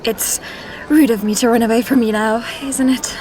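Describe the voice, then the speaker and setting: A woman's voice: a little high, steady tone, normal speed, warm tone, slight background noise, natural voice, poor audio. A woman playing a nervous, evasive young female thief in a fantasy audio drama, speaking hesitantly. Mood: guarded. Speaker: voice actor.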